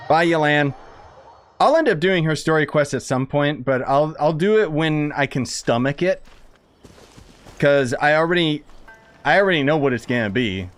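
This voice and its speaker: A man giving casual gameplay commentary in a sarcastic, grumbling way, swearing mildly as he plays. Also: mid-range voice. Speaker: gaming streamer playing and commenting. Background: game music.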